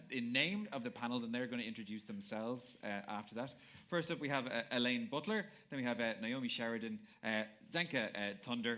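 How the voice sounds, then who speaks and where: Fast-talking, joking male moderator. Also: medium pitch, sharp tone. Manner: joking, rambling with many fillers and restarts. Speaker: panel moderator. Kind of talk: live panel hosting. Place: event hall with audience.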